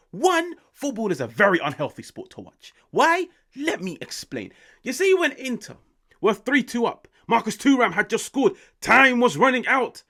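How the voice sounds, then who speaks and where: A British man in his late twenties, hosting an excited football reaction, swearing often and swinging between loud outbursts and hushed asides. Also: high-pitched. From United Kingdom. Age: 20s. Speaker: football channel host.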